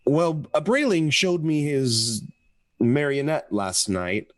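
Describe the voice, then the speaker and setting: A man's voice: a little high, expressive, takes it slowly, dry recording. A man playing a nervous, stammering husband with a guilty secret in a radio play. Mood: awkward, hesitant. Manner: hesitant, stammering, flustered. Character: nervous, henpecked-feeling husband with a guilty scheme.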